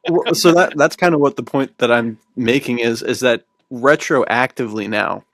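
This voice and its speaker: A man's voice: mid-range voice, normal speed, a bit bright, no echo, clean studio sound. A man in his twenties hosting a podcast in a casual, rambling, self-deprecating way with small chuckles. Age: 20s. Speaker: podcast host and fiction writer. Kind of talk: casual conversational podcast chat.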